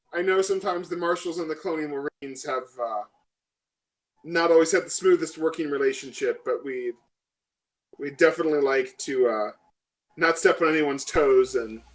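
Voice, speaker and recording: A man playing a professional, diplomatic female marshal in a tabletop game, speaking formally and courteously with halting phrasing. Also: fairly high pitch, fairly bright tone, natural voice, poor audio. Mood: polite, gracious.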